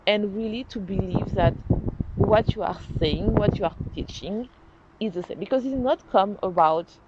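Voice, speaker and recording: A woman's voice: medium pitch, moderate pace. French-accented woman podcasting, lo-fi sound. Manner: earnest, animated, restarts and self-corrections. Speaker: podcast host. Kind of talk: casual solo podcast talk. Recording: dull lo-fi sound, faint noise.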